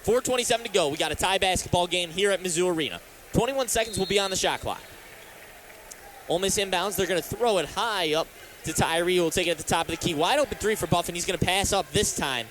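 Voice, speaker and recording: High-pitched American man calling basketball play-by-play. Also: quick pace, fairly bright tone. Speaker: radio play-by-play announcer and sports talk host. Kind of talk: live basketball play-by-play commentary. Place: courtside in a sports arena. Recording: live arena broadcast with faint background noise.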